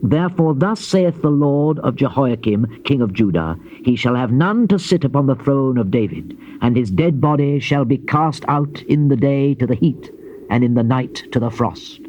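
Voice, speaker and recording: An actor playing a grave Old Testament prophet in a dramatized scripture reading, with solemn, declamatory and measured phrasing. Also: male voice, mid-range voice, expressive, darkish tone, natural voice. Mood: stern, proclaiming. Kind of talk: dramatized scripture reading, classical stage delivery. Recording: dull lo-fi old cassette, faint hiss.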